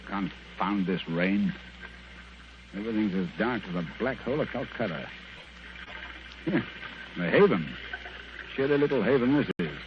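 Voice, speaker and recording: Clever bossy sleuth, swoopy theatrical delivery, old radio show, lots of hiss and muffle. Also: male voice, lowish voice. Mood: muttering, straining. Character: brisk, shrewd, commanding gentleman detective. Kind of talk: 1940s radio acting. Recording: noisy, dull old radio recording.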